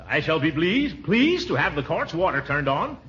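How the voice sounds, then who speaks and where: An actor playing a self-important, easily indignant middle-aged uncle and town official in 1940s radio comedy style, pompous and sputtering. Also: male voice, a little high, very animated, moderate pace, fairly bright tone. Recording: muffled, hissy 1940s radio broadcast.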